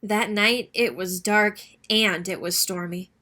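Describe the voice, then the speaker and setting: A woman reading a children's story aloud as an expressive narrator. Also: medium pitch, slow pace.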